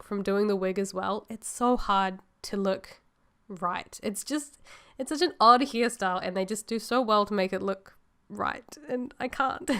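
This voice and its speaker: A woman's voice: medium pitch, a bit bright, smooth. A woman chatting casually to viewers in a voice-over, nasal and a little sore-throated from a cold, rambling and upbeat. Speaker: illustrator vlogger.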